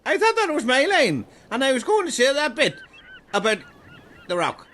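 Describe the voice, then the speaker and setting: A man voicing an excitable, squawky castaway character in a comedy audio sketch. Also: very high-pitched, moderate pace, full character voice. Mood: indignant.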